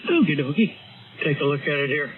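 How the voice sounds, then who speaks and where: A man's voice: on the high side, sing-song, fairly quick. A man on the air as a ham radio operator, giving short replies with dry humor. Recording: distant tinny radio signal with static.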